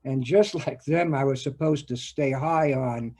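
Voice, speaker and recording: Actor as earnest, conflicted man, slow confessional monologue. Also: mid-range voice, mellow. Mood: wry, wistful. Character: earnest, conflicted man confiding about money, love and conscience. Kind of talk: dramatic stage monologue in readers theater.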